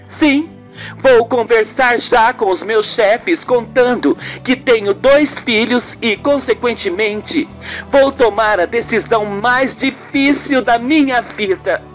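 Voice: medium pitch, slow pace, soft background music, voice pushed up, lightly acted. A performer voicing an anxious, devout woman in love in an amateur radio soap, melodramatic and heartfelt. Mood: anxious, resolute.

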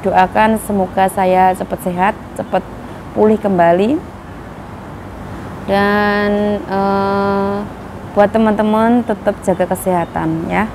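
A woman from Indonesia, hoarse from a cough, chatting casually to her viewers in a vlog, full of fillers. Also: mid-range voice, level tone, takes it slowly, pauses often, noisy background. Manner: chatty, many fillers, addresses viewers as guys. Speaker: vlogger giving news to migrant workers. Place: outdoors in Taiwan.

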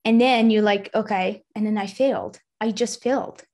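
A woman's voice: mid-range voice, fairly even intonation, normal speed, a little mellow. A woman in her thirties hosting a video call interview, recapping her guest's story with empathy. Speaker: host and tutor. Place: bright home studio with plants. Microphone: desk microphone.

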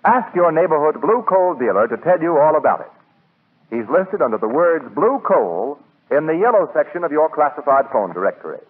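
A man's voice: fairly high pitch, sing-song, a little fast, husky. A male radio announcer reading a 1940s advertisement with polished, emphatic salesmanship. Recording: tinny 1940s radio recording.

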